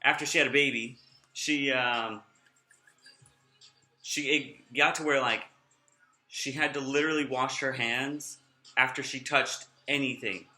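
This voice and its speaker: A man's voice: medium pitch, fairly even intonation, measured pace, pauses often, pushed and bright, reverberant, soft background music. A young man vlogging in a casual, rambling way, sounding nasal and slurring his words a little. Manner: rambling, off-topic, chatty.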